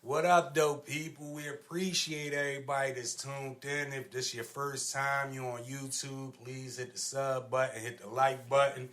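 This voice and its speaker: A man's voice: mid-range voice, flat delivery, normal speed, few pauses, a bit bright. A man hosting a casual panel discussion, talking in slangy, argumentative phrases that he repeats for emphasis. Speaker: podcast host.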